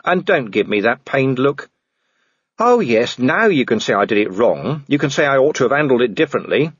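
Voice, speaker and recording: A man's voice: medium pitch, sing-song, normal speed, slightly rough, dry recording, lo-fi sound, pitched above the performer's own voice. A man voicing a grim, weary male city watch commander who talks in a bitter growl.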